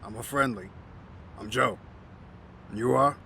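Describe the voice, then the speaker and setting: A man's voice: mid-range voice, measured pace, vocal fry, bright, forceful tone, slight background noise. An actor playing an easygoing, kindly homeless man in an audio drama, with a folksy, teasing manner. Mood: reassuring, friendly.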